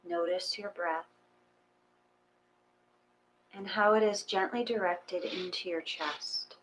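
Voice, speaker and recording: A woman reading a guided meditation in a gentle, soothing, unhurried manner. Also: on the low side, steady tone, a little hiss or hum, rough recording.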